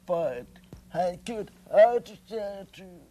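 A man playing an earnest deaf professor on children's television, with imprecisely articulated deaf speech in short, halting, direct phrases. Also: high voice, animated, warm tone, full character voice. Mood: earnest, explaining.

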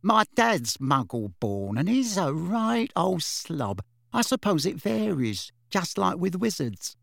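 A man's voice: sits high, big pitch swings, slow pace, gravelly, fairly bright tone, no room sound, voice pushed up, heavily acted. A man voicing a cheeky, clumsy young witch in an audiobook, with a chatty, blunt, colloquial manner. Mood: bright, chatty. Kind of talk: audiobook character voice.

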